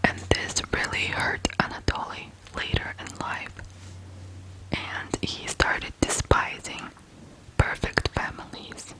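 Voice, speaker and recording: A person from Ukraine telling stories in whispered ASMR style, softly and deliberately, pausing between short phrases. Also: poor audio. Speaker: ASMR true-crime host.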